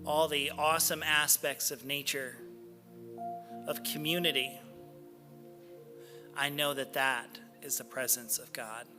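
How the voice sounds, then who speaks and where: A man speaking as a spiritual practitioner in a church sanctuary, giving devotional readings and prayer in deliberate, measured phrases with pauses. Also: a little high, fairly even intonation, over music.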